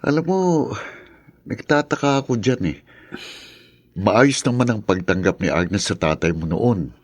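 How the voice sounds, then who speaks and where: A thoughtful Filipino confidant in a radio drama. His voice is rather low and raspy, recorded very dry. He talks as a pensive friend. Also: measured pace, dry recording. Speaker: drama actor.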